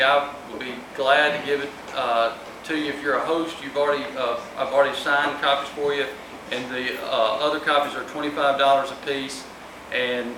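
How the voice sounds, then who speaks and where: A man giving a public speech as an author at a live book event. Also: mid-range voice. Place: room at a live event, distant microphone. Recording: echoey, noisy room recorded from far off.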